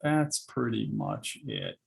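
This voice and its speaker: Halting, creaky low-voiced man presenting plans. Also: huge pitch swings. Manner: hesitant, halting delivery. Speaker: presenter of building plans at a review meeting. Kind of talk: informal presentation with questions and answers. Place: public board meeting.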